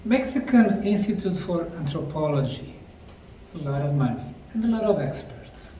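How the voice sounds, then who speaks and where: Man, middle-aged, documentary guide, deliberate explaining, slightly high voice, outdoor ambience faint behind. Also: lively intonation, dark tone, slight background noise. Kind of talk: on-location documentary narration. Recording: roomy, distant mic with faint outdoor ambience.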